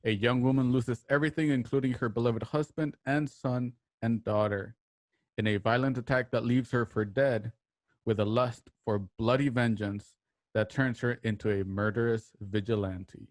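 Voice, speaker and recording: A man's voice: medium pitch, steady tone, normal speed, no room sound. Man hosting with theatrical flair, dry sound. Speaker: host of a radio drama show. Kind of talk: show introduction with joking banter.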